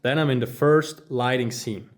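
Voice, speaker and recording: A man's voice: medium pitch, moderate pace. German-accented man teaching smart home lighting. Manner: enthusiastic, casual, addresses viewers as guys. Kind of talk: hands-on tutorial walkthrough. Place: office studio room, indoors. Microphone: phone camera held while walking.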